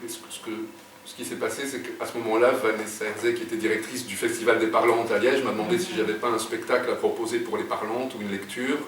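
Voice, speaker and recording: Brief: middle-aged man, low voice, halting storyteller, recorded from afar in a roomy space. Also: little pitch movement. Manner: hesitant, many fillers and restarts. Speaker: guest writer. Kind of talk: casual interview storytelling. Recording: echoey room, distant mic, background noise.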